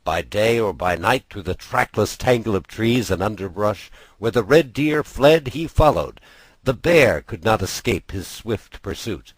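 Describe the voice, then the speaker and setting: Expressive deep-voiced man narrating a story. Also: unhurried, slightly rough, no room sound. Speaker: audiobook narrator.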